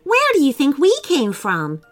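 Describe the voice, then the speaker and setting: Woman playing a high-pitched, curious young girl. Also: huge pitch swings, unhurried, soft background music, full character voice. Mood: astonished. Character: two curious, excitable young girls on an adventure.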